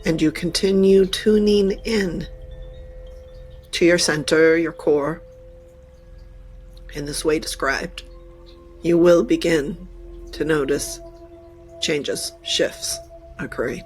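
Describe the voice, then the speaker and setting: A woman leading a channeled guided meditation in deliberate, halting short phrases with formal wording. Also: deep voice, fairly even intonation, darkish tone, faint music behind.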